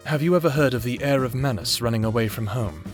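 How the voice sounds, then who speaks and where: A man's voice: mid-range voice, fast talker, faint music behind, close to an everyday voice. Man playing an earnest, guarded new prisoner. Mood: dry, guarded. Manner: serious, formal wording, guarded. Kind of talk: audio drama character acting.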